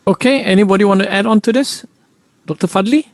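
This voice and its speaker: Higher-voiced Malaysian man moderating, dry close sound. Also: fast, no room sound. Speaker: moderator and member of parliament. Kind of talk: informal moderating of a press conference.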